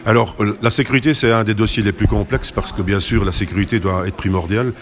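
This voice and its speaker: A man's voice: fairly low pitch, fairly even intonation, quick pace. A man answering interview questions as a committee president, explaining in detail and often correcting himself.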